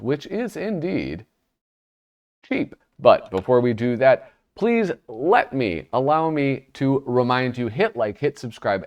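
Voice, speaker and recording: A man's voice: mid-range voice, darkish tone. A man hosting his own magazine review channel, chatty and self-deprecating, sounding nasal from a cold. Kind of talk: casual solo review vlog.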